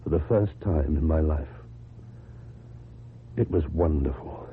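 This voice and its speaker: Deep-voiced, hesitant British loner. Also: male voice. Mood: confessional, subdued. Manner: hesitant, halting, formal. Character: lonely, awkward, inexperienced man, earnest and apologetic. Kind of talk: old-time British radio drama acting. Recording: muffled, noisy old radio recording.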